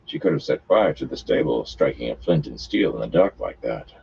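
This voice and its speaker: A man voicing a calm male companion speaking softly and low, on a muffled lo-fi recording. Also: brisk, vocal fry, a little hiss or hum, full character voice. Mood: quiet. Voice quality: soft, low.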